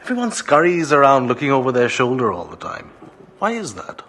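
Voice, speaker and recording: An actor playing a haughty, probing foreign emissary in an audio drama, with a husky voice and an imperious, sardonic manner. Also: male voice, mid-range voice, huge pitch swings, brisk, a bit bright, heavily acted. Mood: sly, probing.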